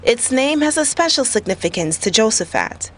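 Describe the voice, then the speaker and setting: A woman's voice: on the high side, sing-song, normal speed, a bit bright. A woman reporter reading a scripted narration for a local news feature.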